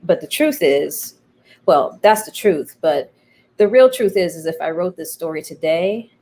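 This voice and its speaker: A woman playwright speaking as an interview guest, telling her story in a candid, thoughtful, halting way. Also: fairly low pitch, animated, mellow. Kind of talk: reflective conversational storytelling.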